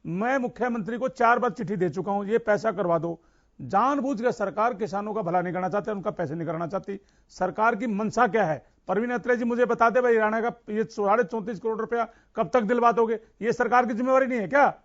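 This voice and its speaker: Male debate panelist, very high strained-up pitch, challenging and repetitive, muffled lo-fi sound. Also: quick pace. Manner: challenging, repeats questions. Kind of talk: combative political debate. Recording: dull, lo-fi broadcast audio.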